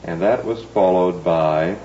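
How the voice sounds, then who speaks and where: A man's voice: low voice, a little slow, dark tone. An American man hosting a radio interview in a reminiscing, conversational style. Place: remote interview on location. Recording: dull noisy old radio recording.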